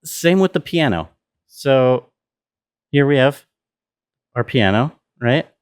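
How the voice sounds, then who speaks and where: A man's voice: medium pitch, expressive, slow, smooth. A man explaining sound design step by step in an informal tutorial style, often dropping in "you know" as he goes. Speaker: sound designer presenting a walkthrough.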